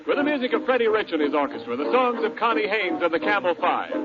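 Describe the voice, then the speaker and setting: An actor playing an affable, easily needled announcer sidekick, speaking in 1940s radio style. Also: male voice, sits high, big pitch swings, fast talker, over loud music. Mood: announcing. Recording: muffled, very noisy 1940s radio broadcast.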